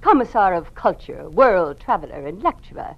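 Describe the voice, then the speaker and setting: A woman's voice: fairly low pitch, sing-song, moderate pace, vocal fry, husky, full character voice. An actress playing a spiteful, gloating party informer in an old-time radio drama, taunting and sneering as she talks. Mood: vindictive, scornful. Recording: dull, band-limited old radio recording.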